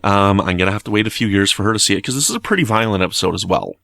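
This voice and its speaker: A man's voice: on the low side, animated, very fast, creaky, pushed and bright, dry recording. A man giving his opinion in an informal, conversational message, speaking earnestly and with emphasis. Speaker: listener leaving feedback message.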